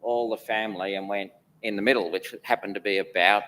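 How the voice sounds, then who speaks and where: A man's voice: on the low side, fairly even intonation, fairly quick, pushed and bright, slight background noise, rough recording. A man in his fifties with a mixed, mostly Australian accent, the chair of a church council, answering questions with rambling dry humour. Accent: mixed, Australian with traces of places lived. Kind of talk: interview answers.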